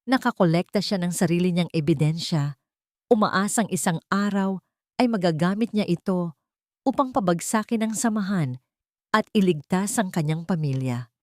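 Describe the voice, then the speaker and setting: Babaeng nagkukuwento nang madrama. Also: female voice, on the low side, lively intonation, normal speed, no echo, clean studio sound. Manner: dramatic storytelling, reads all parts herself. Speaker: narrator. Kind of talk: scripted story narration.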